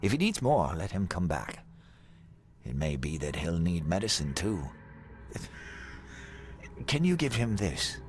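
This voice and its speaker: Game aristocrat, male: deep, courteous, benevolent, formal phrasing with animated melody. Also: a little hiss or hum. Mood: generous, kindly. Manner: formal, measured, polite. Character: courteous, benevolent male heir of an influential family. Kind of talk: video game cutscene dialogue.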